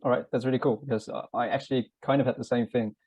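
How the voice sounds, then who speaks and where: A man interviewing a job applicant in an easygoing, informal way, scattering "uh"s and "um"s through his questions. His voice is mellow and dark with little pitch movement. The sound is dry and a bit dull, with no room around it. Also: fast, breathy edge, no room sound. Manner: relaxed and informal, with fillers. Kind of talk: casual mock job interview.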